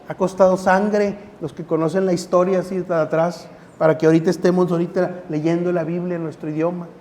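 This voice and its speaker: A man's voice: sits high, mellow. A man preaching a sermon in a conversational way, repeating phrases for emphasis. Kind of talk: sermon with scripture reading.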